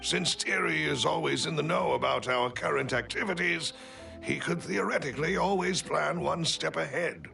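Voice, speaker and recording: An actor playing a devoted butler in a video game, speaking formally, deliberately and precisely. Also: male voice, on the high side, vocal fry, pushed and bright, far from the mic, faint music behind, heavily acted. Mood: explanatory, serious. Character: devoted, discreet male butler, measured and analytical. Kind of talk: scripted video game dialogue.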